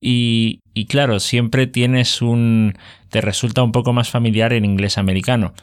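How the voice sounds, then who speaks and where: A man's voice: mid-range voice, flat delivery, measured pace, clean tone. A man with a Spain Spanish accent chatting casually as host, explaining things at length with many fillers. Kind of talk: casual conversation.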